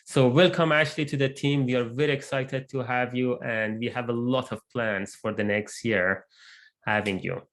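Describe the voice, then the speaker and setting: A man who is not a native English speaker, presenting his research group's work in an online meeting, measured and with frequent fillers. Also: medium pitch, steady tone, a bit bright. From immigrant to Canada. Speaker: research lab lead presenting. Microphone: computer mic on video call.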